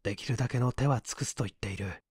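A man's voice: medium pitch, fast talker, husky, no echo, clean studio sound. A man voicing a serious, concerned male colleague in an audiobook detective story, sober and matter-of-fact. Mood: grave. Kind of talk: Japanese audiobook character acting.

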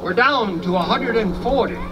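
A man's voice: sits high, lively intonation, normal speed. A man playing a folksy, aggrieved American dragoon sergeant from the 1840s in an outdoor stage reenactment. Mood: grumbling. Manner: folksy, complaining, theatrical. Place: outdoor amphitheater in a park. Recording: distant outdoor microphone, background noise.

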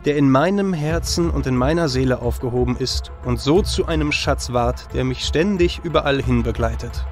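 A man playing a noble, repentant warrior hero in a fantasy audio drama, speaking earnestly and with measured solemnity. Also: medium pitch, darkish tone, soft background music, lightly acted. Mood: solemn, reflective.

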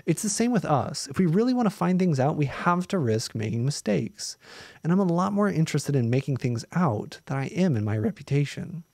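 Man in his thirties, story reader, medium pitch, dry close sound, steady reading voice. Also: no echo. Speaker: narrator reading a science fiction story aloud. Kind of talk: live reading of a novella, doing dialogue lightly. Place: small room with green screen, indoors. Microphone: studio mic on boom arm close to mouth.

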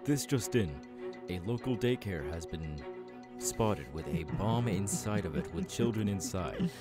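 A man's voice: deep voice, animated, unhurried, over loud music, voice pushed down. A man voicing an earnest, easily rattled male TV news anchor in a mock broadcast within an audio roleplay. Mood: urgent reporting.

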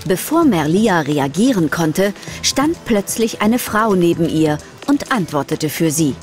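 A woman reads scripted audio drama narration. Her voice is fairly low, and music plays clearly behind her storytelling. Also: sing-song, a little slow, darkish tone.

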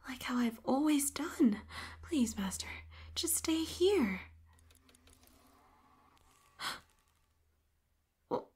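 A clingy, possessive female robot companion, voiced by a woman for an audio roleplay. Her voice sits a little high and comes out breathy and hushed, right up against the mic. She pleads, then turns eerily calm and controlling. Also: full character voice. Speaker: voice actress.

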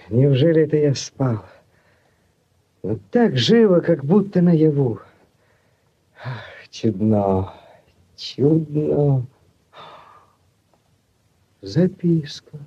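An actor playing a dreamy young Cossack lad, exclaiming theatrically in 1940s Soviet radio style. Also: male voice, fairly high pitch, very animated, drawn-out words, pauses often, warm tone, husky, exaggerated voice. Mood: amazed. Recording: dull, muffled 1940s radio recording.

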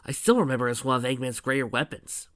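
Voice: medium pitch, fast talker, a bit bright, no room sound, clean studio sound, lightly acted. A performer voicing a laid-back, cocky young male hedgehog hero in a casual, teasing, confident manner. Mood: matter-of-fact.

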